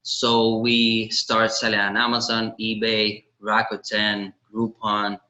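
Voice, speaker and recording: Bright-voiced man, halting. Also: on the low side, completely flat delivery, low-quality recording. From Latvia. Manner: earnest, halting, with small grammar slips. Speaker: podcast guest, online seller. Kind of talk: casual interview chat.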